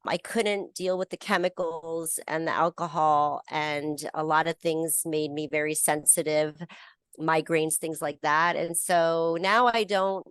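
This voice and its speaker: American woman guest, slightly low voice, chatty and easygoing, dry sound over a video call. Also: steady tone, measured pace, fairly bright tone, clean tone, dry recording. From United States. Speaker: brand founder, guest. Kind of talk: casual video interview. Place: her retail store.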